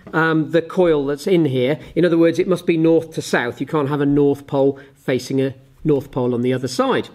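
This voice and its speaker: Male teacher, forties, slightly high-pitched voice, explains a hands-on demonstration in orderly steps. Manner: methodical, step-by-step explaining. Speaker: physics teacher. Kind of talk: explanatory lesson with live demonstration. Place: indoors, small office at a desk.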